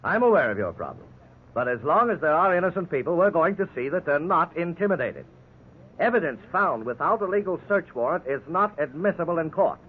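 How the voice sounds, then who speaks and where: An actor playing a principled defense lawyer, speaking firmly and formally in a 1950s radio drama. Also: male voice, a little high, big pitch swings, brisk. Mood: righteous. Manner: firm, formal, righteous. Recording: tinny, noisy 1950s radio recording.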